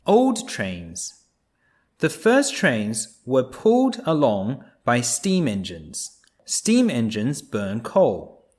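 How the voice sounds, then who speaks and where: A man reading a children's nonfiction book aloud, explaining things simply and deliberately for young listeners. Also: on the high side.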